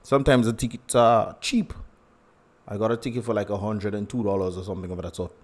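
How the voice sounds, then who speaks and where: A man's voice: on the low side, fairly even intonation, fast talker. A young man with a Dominican accent hosting a casual livestream, chatty and laughing often as he greets viewers. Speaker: livestream host.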